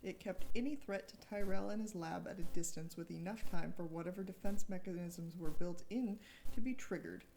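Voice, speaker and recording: A woman's voice: fairly low pitch, normal speed, gravelly, few pauses, warm tone, rough recording. Woman dramatically reading a thriller novel. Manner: informal, breaks off to joke and correct slips. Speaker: co-author reading from own book.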